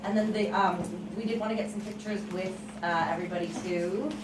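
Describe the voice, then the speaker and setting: A woman's voice: mid-range voice, steady tone, a little fast. A woman hosting an event, giving informal, rambling announcements to an audience with some hesitation. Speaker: event host. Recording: echoey room from far off, noisy.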